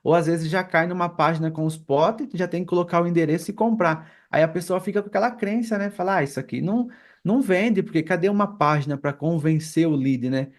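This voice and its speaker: A man's voice: a little high, a little fast. A man with a Brazilian accent co-hosting a casual podcast on a remote call, posing questions and discussing them. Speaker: podcast co-host.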